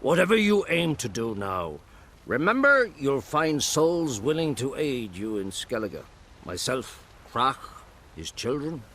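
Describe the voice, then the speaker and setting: An actor playing a kindly old druid healer in a video game, speaking in a formal, measured, avuncular way. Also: male voice, mid-range voice, big pitch swings, a bit bright, slight background noise. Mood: solemn, reassuring. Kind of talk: dramatic video game voice acting.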